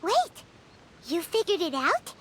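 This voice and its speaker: A woman voicing a small, childlike, excitable and inquisitive fairy companion in a video game dub, squeaky and full of exclamations. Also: takes it slowly, slight background noise, heavily acted. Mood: astonished. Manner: exclamatory, questioning. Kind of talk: English game dub voice acting. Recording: game music behind the voice.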